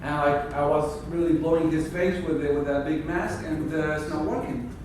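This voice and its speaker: A man's voice: a little high, normal speed. A man in his thirties from Syria, a native Arabic speaker with a Syrian Arabic accent, giving a hesitant graduation speech at a podium in a wood-paneled hall, picked up by a far camera. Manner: hesitant, searching for words, many restarts. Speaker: graduating surgical resident. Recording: echoey hall from far, noisy.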